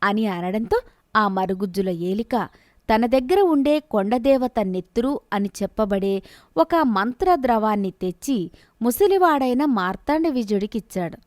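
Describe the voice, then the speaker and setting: A woman narrating a scripted bedtime fantasy story in a steady, expressive storytelling manner. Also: fairly high pitch, unhurried, no echo, clean studio sound.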